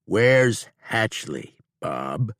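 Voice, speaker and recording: A man voicing a cool, taunting male bounty hunter with a slow drawl in an audiobook. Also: on the low side, very animated, fairly bright tone, no echo, pitched above the performer's own voice, full character voice. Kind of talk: audiobook character voice.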